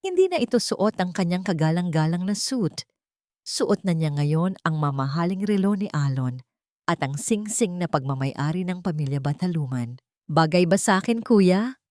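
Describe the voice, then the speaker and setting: Female narrator, dramatic scripted storytelling, expressive intonation, close dry recording. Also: fairly low pitch, normal speed, no echo.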